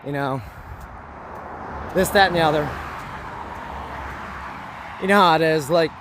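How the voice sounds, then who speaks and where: A man with a hoarse voice, talking to camera in a rambling, repetitive, preachy vlog monologue. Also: fairly high pitch, unhurried, noisy background. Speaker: vlogger.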